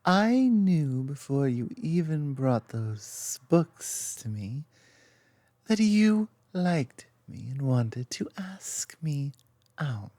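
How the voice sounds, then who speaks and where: Woman acting as a loving lamia girlfriend, low-pitched, expressive swings in intonation, soft, teasing, confident, intimate ASMR roleplay. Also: dry recording, full character voice. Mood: fond, teasing. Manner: soft, teasing, unhurried with playful asides.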